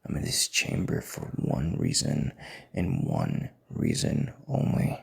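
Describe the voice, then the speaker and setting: A man playing a proud, stubborn king in an intimate audio drama, commanding, with breathy, gravelly half-whispers. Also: rumbling low, huge pitch swings, takes it slowly, full character voice. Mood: scornful, defensive. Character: proud, stubborn, commanding young king, bitter rival husband.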